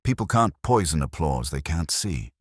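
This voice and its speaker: Guy doing a wisecracking detective sidekick, very low voice, breezy and wry, lively delivery. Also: moderate pace, no room sound, clean studio sound, full character voice. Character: wisecracking, flippant male detective's assistant.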